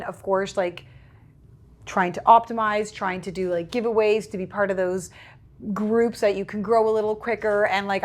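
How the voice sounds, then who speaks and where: Chatty young woman. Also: medium pitch. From Portugal. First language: Portuguese. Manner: chatty, acts out her own past remarks in a raised voice. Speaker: content creator guest. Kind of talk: casual interview chat.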